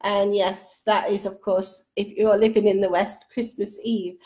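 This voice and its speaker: A woman's voice: medium pitch, level tone, a little slow. A middle-aged woman hosting a casual live stream from her sofa at home, reading out viewer comments and chatting with her young daughter beside her. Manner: chatty, warm-toned aside to her daughter, many restarts. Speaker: host. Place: living room sofa at home. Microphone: webcam at close range.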